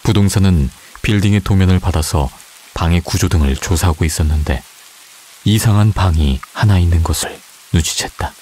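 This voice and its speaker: A man calmly narrating a scripted urban legend in an even, matter-of-fact way. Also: low-pitched, moderate pace, a little hiss or hum. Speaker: storyteller.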